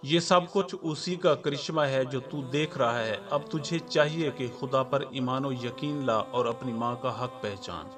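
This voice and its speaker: A man's voice: mid-range voice, measured pace, few pauses, music in the background, close to an everyday voice. A man voicing a pious old blind mother in a storybook tale, speaking in solemn, formal admonishment. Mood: solemn, admonishing.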